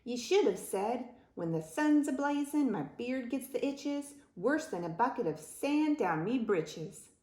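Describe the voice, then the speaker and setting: A woman's voice: a little high, measured pace, a little mellow, close to an everyday voice. Lady doing a blustery pirate captain guy, bellows in pirate talk, demanding, storybook style, echoey. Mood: scolding. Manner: bellowing, rolling pirate dialect.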